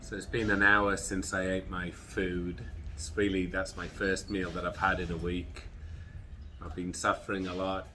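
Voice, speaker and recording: Man, middle-aged, very low voice, bright tone, congested, sore throat, slow halting talk. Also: level tone, slight background noise. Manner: slow, halting, tired recounting. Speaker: vlogger. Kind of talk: personal health vlog.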